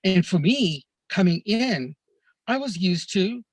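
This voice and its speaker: A man from Kenya, a podcast guest, telling stories of his life abroad in an earnest, measured way, often pausing on "uh" and "you know". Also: high-pitched, animated, dry recording. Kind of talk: reflective storytelling in a conversation.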